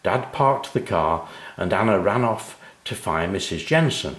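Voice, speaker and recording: A man's voice: low-pitched, animated, measured pace, a bit bright, roomy sound. A man narrating a children's story expressively, speaking directly to young listeners. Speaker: author and narrator.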